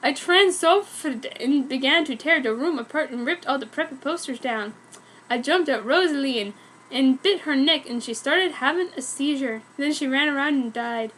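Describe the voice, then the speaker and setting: Female story reader, high voice, theatrical narration of amateur fiction with mock-serious delivery. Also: normal speed, fairly bright tone, slight background noise, rough recording.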